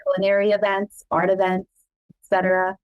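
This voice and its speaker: A woman's voice: medium pitch, completely flat delivery, a little fast, clean tone, lo-fi sound. A middle-aged woman whose first language is Cebuano, hosting a podcast in a chatty, proud way and laughing often. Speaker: podcast host. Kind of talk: casual family chat.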